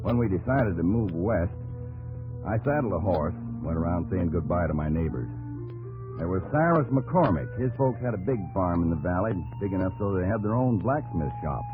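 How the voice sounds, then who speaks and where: Deep, expressive American male: stubborn frontier farmer with a folksy drawl, old radio drama over music. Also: moderate pace, narrow, tinny audio. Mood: reminiscing. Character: plainspoken, stubborn pioneer farmer recalling his westward journey. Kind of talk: 1950s radio drama acting, narrating in character. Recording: old 1950s radio broadcast recording.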